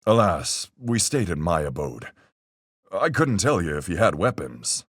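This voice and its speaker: An actor playing a calm seafaring man in a video game, reasoning things through with folksy sailor talk in a husky, gravelly voice. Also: deep voice, no room sound, studio quality, heavily acted. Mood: measured, reasoning. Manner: folksy nautical speech, measured and reasoning. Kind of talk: video game voice acting, deliberate argument.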